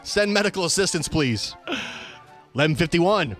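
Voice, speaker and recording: A man's voice: on the high side, animated, moderate pace, fairly bright tone. A man hosting a talk show, reading out quoted reports aloud in an emphatic, announcer-like way. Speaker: radio show host. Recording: music bed behind the voice. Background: music.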